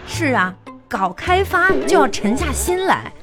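Fed-up bossy office lady, radio comedy, brusque and dismissive, highish voice that moves around a lot, music behind. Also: sing-song, measured pace. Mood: coolly patronising. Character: curt, exasperated woman boss, coolly dismissive. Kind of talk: broadcast radio comedy sketch acting.

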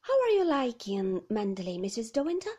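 Woman putting on a chirpy, bright-voiced nurse. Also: fairly high pitch, sing-song, a little fast, slightly rough. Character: brisk, relentlessly cheerful nurse, adult woman.

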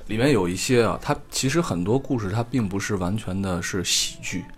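A man's voice: lowish voice, brisk, breathy edge. A man in his thirties, a podcast co-host, chatting casually and rambling with lots of fillers and restarts. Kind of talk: casual chat.

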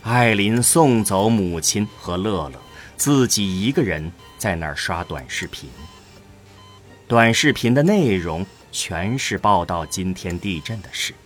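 Animated male drama narrator. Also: on the low side, measured pace, faint music behind.

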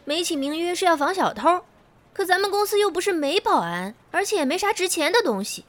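A woman's voice: extremely high pitch, lively intonation, a little fast. A woman playing an indignant, gossipy office colleague who grumbles and exclaims in an amateur radio drama. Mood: annoyed, grumbling.

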